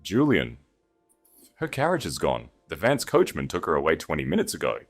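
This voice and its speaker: A man voicing an anxious, loyal lord serving as best man in an audiobook, urgent and hushed with a trembling voice. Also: extremely low pitch, wildly swooping pitch, normal speed, faint music behind, heavily acted. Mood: shaken, urgent.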